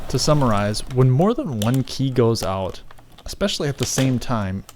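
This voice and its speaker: A man's voice: medium pitch, very animated, moderate pace. A man reading scripted how-to narration in a step-by-step tutorial, as its narrator. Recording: clear background noise.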